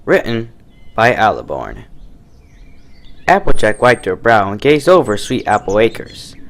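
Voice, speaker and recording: A man reading fan fiction aloud as an expressive storybook narrator. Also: lowish voice, measured pace.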